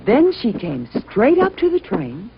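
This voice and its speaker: A woman's voice: a little high, wildly swooping pitch, measured pace. A woman narrating a children's story expressively, as a storyteller. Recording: muffled, noisy old-sounding recording.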